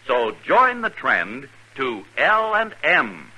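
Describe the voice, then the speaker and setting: A man's voice: mid-range voice, huge pitch swings, takes it slowly, sharp tone, faint music behind, poor audio. A man reading a 1950s radio advertisement as a commercial announcer.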